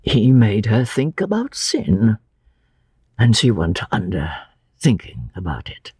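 A performer voicing a frail, gentle old man who speaks haltingly and in anguish, as in a dramatic audiobook. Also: medium pitch, wildly swooping pitch, husky, no room sound, clean studio sound, full character voice. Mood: grim, weary. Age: older. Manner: halting, drawn-out, anguished delivery. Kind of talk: dramatic audiobook character reading.

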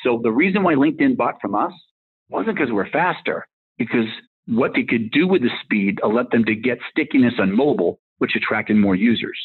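A man's voice: medium pitch, animated, normal speed, breathy edge. A man in his fifties with a Massachusetts accent, a tech executive telling his career story in a rambling, casual way that drifts into little acted-out bits of dialogue. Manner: rambling, acts out dialogue in anecdotes. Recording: phone-like narrow line.

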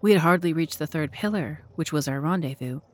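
A woman's voice: sits low, a little fast. A woman narrator and host reading scripted narration for an audio drama.

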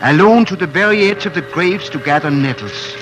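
A man's voice: on the high side, expressive, brisk, poor audio. A man narrating a story theatrically and suspensefully in 1940s radio drama style. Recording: old 1940s radio recording with music.

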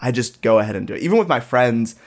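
A young man from Ohio talks through a personal story in an easy, unhurried conversational voice. His pitch sits in the middle for a man. He leans heavily on filler and circles back on himself, often poking fun at himself as he goes. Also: expressive. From Dayton, Ohio, US. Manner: rambling, lots of filler "like", self-deprecating.

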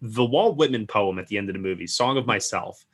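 A man's voice: on the low side, animated, fairly quick, husky. A man hosting a film podcast interview, asking long, friendly questions in an engaged manner.

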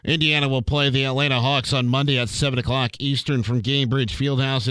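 A man's voice: medium pitch, a bit bright, dry recording. Dry-sounding man reading sports update. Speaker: sports reporter. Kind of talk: scripted sports radio update.

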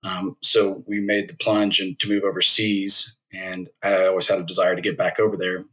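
Man in his thirties, low voice, flat delivery, measured, filler-heavy, interview guest. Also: poor audio. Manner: measured, thoughtful, with many fillers. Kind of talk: casual interview.

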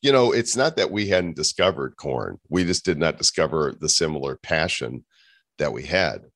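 A man's voice: very deep voice, animated, normal speed, a bit bright, dry recording, clean studio sound. A man co-hosting a podcast, wrapping up with easygoing, joking banter. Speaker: podcast co-host. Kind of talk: casual chat.

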